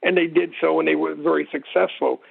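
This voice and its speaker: A man with a gravelly, husky voice explaining history informally as an interview guest, rambling and digressing as he tells it. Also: on the high side, steady tone, brisk. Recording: muffled narrow phone-like line.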